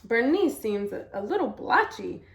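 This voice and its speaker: A woman voicing a mild, practical father in a storybook, gently persuading. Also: a little high, sing-song, moderate pace, vocal fry, slight background noise.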